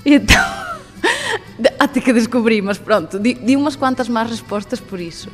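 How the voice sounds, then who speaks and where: Galician woman, twenties, lively and laughing, faint music bed. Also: fairly high pitch, normal speed. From Galicia, Spain. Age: 20s. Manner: lively, laughs often, mixes Portuguese and Galician. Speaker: guest. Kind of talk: radio testimony.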